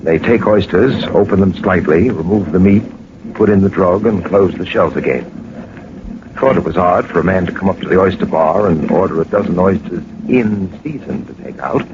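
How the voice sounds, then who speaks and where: An actor playing a brisk, commanding gentleman adventurer in a 1940s radio drama, clipped and authoritative. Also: low-pitched. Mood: brisk, knowing. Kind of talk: 1940s radio drama acting and first-person narration. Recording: muffled, noisy 1940s radio recording.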